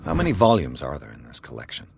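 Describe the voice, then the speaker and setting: An actor playing a brooding male investigator in a video game, thinking aloud in a gravelly voice. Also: a bass voice, huge pitch swings, fast talker, full character voice. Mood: brooding, curious. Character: brooding male investigator examining occult clues.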